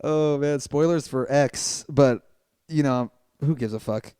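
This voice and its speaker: A man co-hosting a casual wrestling podcast with his father, talking in a relaxed way and laughing often. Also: mid-range voice, a little slow, clear, resonant tone, no echo, clean studio sound. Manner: laid-back, laughs easily. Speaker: podcast co-host, son. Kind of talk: casual chat.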